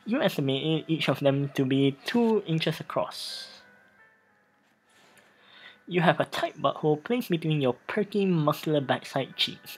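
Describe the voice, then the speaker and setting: Halting let's play host over loud music. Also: mid-range voice, husky, poor audio. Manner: halting, stumbles over read text and restarts. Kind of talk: casual game playthrough with dramatic reading. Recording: music playing behind voice. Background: background music.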